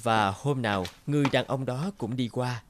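A man's voice: mid-range voice, moderate pace, noisy background. A man reading scripted narration for a fairy tale as its storyteller.

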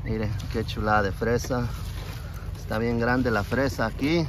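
A man's voice: medium pitch, measured pace. A man from Oaxaca, hosting a vlog and chatting with workers in an outdoor strawberry field. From Oaxaca, Mexico. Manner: lively, chatty, sends greetings. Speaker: vlogger host. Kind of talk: casual on-the-go interviewing. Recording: loud outdoor field noise.

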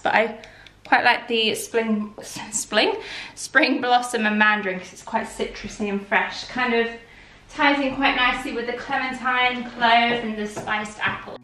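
A woman's voice: a little high, pushed and bright, slight background noise. Chatty British woman in an echoey room. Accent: British English. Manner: chatty and upbeat, easily startled. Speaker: home vlogger. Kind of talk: casual clean-with-me narration. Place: living room at home, indoors. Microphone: camera mic from across the room. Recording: roomy, distant camera sound.

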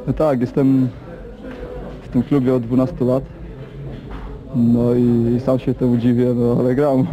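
A man, a goalkeeper, answering interview questions outdoors at a stadium, hesitant and halting. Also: mid-range voice, level tone, mellow, muffled recording, slight background noise. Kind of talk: post-match interview.

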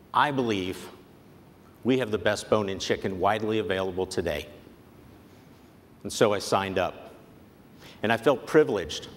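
A man in his forties, a company executive giving a prepared keynote speech on a large convention stage, measured and persuasive. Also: lowish voice. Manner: measured, earnest, persuasive delivery. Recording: long hall reverb, faint background noise.

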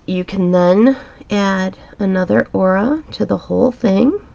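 A woman teaching a drawing pattern step by step in a calm, chatty, reassuring way, her voice a little raspy. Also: mid-range voice, a little slow, lo-fi sound. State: slightly raspy from a dusty room. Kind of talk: relaxed step-by-step drawing tutorial. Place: home art studio, indoors.

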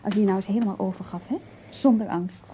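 A woman's voice: mid-range voice, fairly even intonation, fast talker, dark tone, highs cut off, close to an everyday voice. An actress in a Dutch radio play playing a playful, seductive woman who coaxes and teases, on a noisy recording. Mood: coaxing, seductive. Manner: coaxing, teasing. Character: playful, seductive, coaxing female lover.

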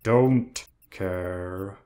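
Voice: low voice, drawn-out words, mellow. A performer playing a lazy, bitter, exhausted demon of Sloth in an audio drama, speaking in a weary, self-pitying drawl. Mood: weary, dismissive. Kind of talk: audio roleplay drama acting.

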